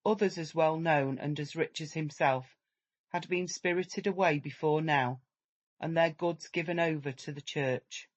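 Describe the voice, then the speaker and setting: A man narrating a literary story as a measured, dramatic audiobook reading. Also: fairly high pitch, dull audio.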